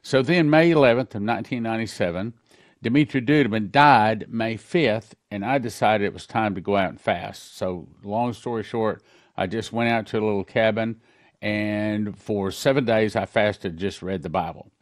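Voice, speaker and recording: A man's voice: on the low side, no echo, clean studio sound. An older man, a pastor, earnestly recounting dreams in a sermon. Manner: earnest storytelling, reads letters aloud quickly.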